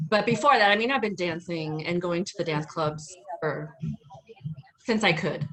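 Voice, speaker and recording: Brief: a woman dance instructor with a lowish voice, casual and unhurried, lots of fillers. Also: rough recording. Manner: relaxed, hesitant with many fillers. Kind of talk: casual podcast interview.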